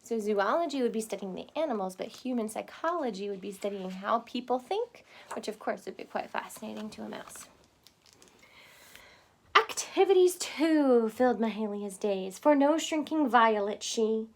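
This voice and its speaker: A woman reading a children's storybook aloud expressively, in the manner of someone explaining it to young listeners. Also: fairly high pitch. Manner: expressive, pausing to explain words simply. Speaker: storybook reader.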